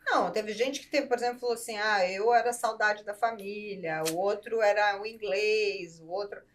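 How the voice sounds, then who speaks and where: A woman's voice: medium pitch, normal speed. A woman hosting a podcast, asking questions and affirming her guest with short interjections. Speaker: podcast host.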